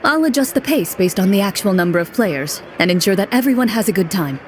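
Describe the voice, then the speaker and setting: A woman playing a composed young duelist and game master in a video game, speaking in a measured, polite and formal way. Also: mid-range voice, expressive, a little hiss or hum, natural voice. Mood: calm, polite. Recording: music playing behind the voice.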